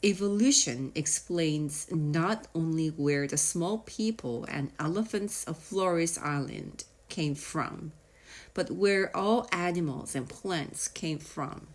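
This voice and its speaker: A woman narrating a children's nonfiction audiobook in a measured, explanatory way with deliberate pauses. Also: sits low. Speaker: audiobook reader.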